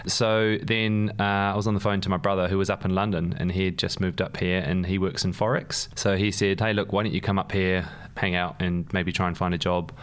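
A young man with a New Zealand accent talks as a podcast guest, his voice very low. He rambles casually, filling gaps with ums and laughing easily. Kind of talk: casual conversation.

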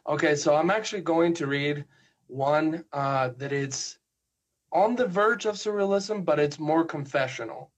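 A man from Spain with a Spanish accent, a guest poet who chats hesitantly and reads his poems slowly and softly. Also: on the high side. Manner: hesitant, soft-spoken, slow measured reading. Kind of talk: casual chat and poetry reading.

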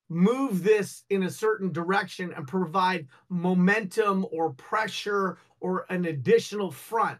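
A rambling male co-host with a high, light voice. He jokes loosely and trips over his words as he makes his points in relaxed talk-show banter.

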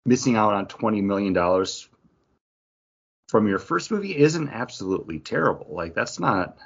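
Male podcast co-host, husky and on the low side, dull lo-fi sound, casual chat. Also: animated, normal speed. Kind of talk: casual pop-culture chat.

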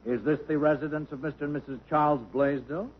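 A man's voice: fairly high pitch, fairly even intonation, fast talker, slight background noise, lightly acted. An actor playing a polite, formal male attorney in a 1950s radio comedy, speaking courteously with some hesitation. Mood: polite, hesitant. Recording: muffled old radio broadcast.

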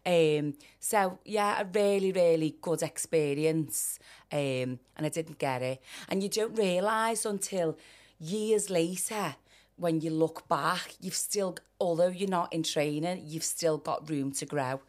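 A woman's voice: low-pitched, slow pace. A woman in her late forties with a Liverpool accent, a former professional dancer chatting as a podcast guest and telling stories with frequent fillers. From Liverpool, England. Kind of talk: casual podcast interview.

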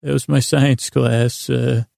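A middle-aged American man hosting a bedtime podcast, rambling in a halting, digressive, self-deprecating way with a lulling, soothing tone. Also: mid-range voice, level tone, gravelly, darkish tone, no room sound, clean studio sound.

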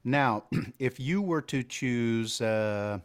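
A man with an ordinary mid-range voice hosts a relaxed conversation. He laughs readily and feels his way through questions. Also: mid-range voice, very slow. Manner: laughs easily, brief backchannels, rambling questions. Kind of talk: casual interview chat.

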